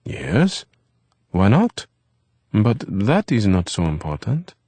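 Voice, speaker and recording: A man voicing a gentle, wistful young man who grew up on the streets, speaking in soft, formal phrases. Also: fairly low pitch, huge pitch swings, slow pace, no room sound, pitched above the performer's own voice, full character voice. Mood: earnest.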